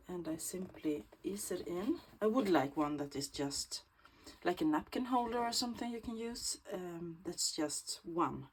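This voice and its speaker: A woman with a Swedish accent, Swedish native, talking through a bookbinding tutorial in a slow, thoughtful way that often drops to a soft whisper while she works. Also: lowish voice, slight background noise. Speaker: bookbinding crafter. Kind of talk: casual craft tutorial.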